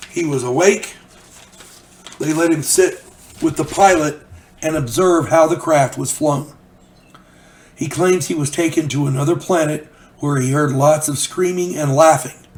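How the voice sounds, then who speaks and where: A man's voice: a little high, a little mellow. Folksy older man telling a story. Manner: folksy, rambling with restarts. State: recovering from a cold. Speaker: channel host. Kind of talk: casual storytelling monologue.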